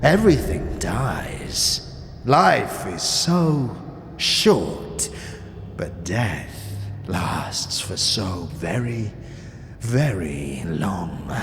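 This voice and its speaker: A man playing a sly, greedy old scavenger king with a wheezy, creaky voice and a drawn-out, theatrical delivery in a fantasy audio drama. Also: sits low, big pitch swings, slight background noise, exaggerated voice. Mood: sly, sinister relish. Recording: long reverb, music underneath.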